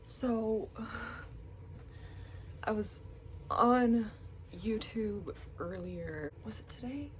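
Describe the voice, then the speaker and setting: A woman's voice: mid-range voice, extremely slow, long pauses, slight background noise, poor audio. A young woman with a stuffy, congested voice from a cold, chatting casually to her camera with lots of likes and ums. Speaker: vlogger.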